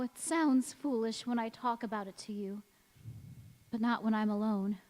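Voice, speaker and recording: A woman plays an anguished, devoted young wife in a radio drama. Her voice sits fairly high and moves very slowly, with earnest melodrama. It rings through a reverberant hall with music behind. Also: lightly acted. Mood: anguished, pleading. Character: anguished, devoted young-looking wife cursed never to age. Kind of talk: stage radio-play acting. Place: large reverberant church or hall.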